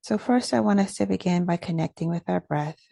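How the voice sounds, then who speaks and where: A woman leading a guided meditation in a gentle, measured, instructional way. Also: fairly low pitch, gravelly, no echo.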